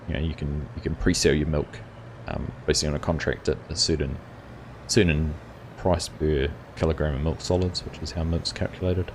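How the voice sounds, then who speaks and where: A man's voice: extremely low pitch, slight background noise. A middle-aged man with a New Zealand accent, hosting a live gaming stream and chatting casually with viewers, with plenty of fillers. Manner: relaxed and chatty, many fillers, answers chat by name. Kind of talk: casual chat reading viewer comments.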